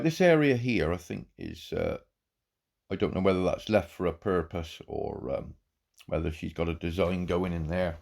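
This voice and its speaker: Croaky low-voiced guy with a cold, stumbling over words while reviewing a game farm. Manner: halting, repeats words, warm praise. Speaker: farm game channel host. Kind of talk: casual gameplay commentary.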